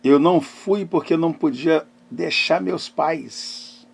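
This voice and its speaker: A middle-aged man speaks as a pastor in a notably high-pitched voice. He preaches through personal testimony, placing phrases emphatically with dramatic pauses, moving between loud stress and hushed delivery. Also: creaky, slightly rough. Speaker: pastor and therapist hosting a live talk.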